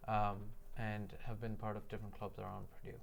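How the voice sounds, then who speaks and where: Gravelly young man from India. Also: fairly low pitch, flat delivery, brisk, a little hiss or hum. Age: young adult. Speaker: engineering student, peer coach.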